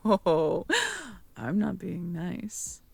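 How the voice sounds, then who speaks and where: Unhurried, creaky-voiced caring young girlfriend, acted by a woman. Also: lowish voice, no room sound, soft background music, exaggerated voice. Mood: playful teasing. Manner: soft, intimate, conversational, playful. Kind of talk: ASMR roleplay audio drama, speaking to the listener.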